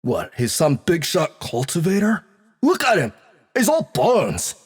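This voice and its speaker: Audiobook fantasy role: brash young godling, condescending street swagger, husky, unhurried, swinging delivery. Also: male voice, fairly high pitch, huge pitch swings, voice pushed up, heavily acted. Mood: mocking. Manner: sneering, condescending. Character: brash, cocky young male god, streetwise and taunting.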